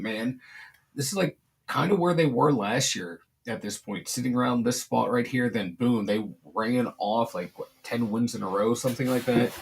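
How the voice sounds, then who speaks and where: A man with a Pittsburgh accent co-hosting a casual sports podcast, laid-back and rambling, with a hoarse voice. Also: mid-range voice, rough recording. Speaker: podcast co-host.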